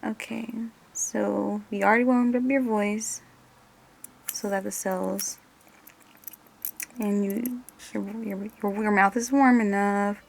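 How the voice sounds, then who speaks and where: A woman's voice: medium pitch, fairly even intonation, slow, vocal fry, long pauses, slight background noise, poor audio. A woman doing a one-on-one ASMR role-play as an examiner, hesitant and muttering to herself, drifting between normal voice and whispery murmur.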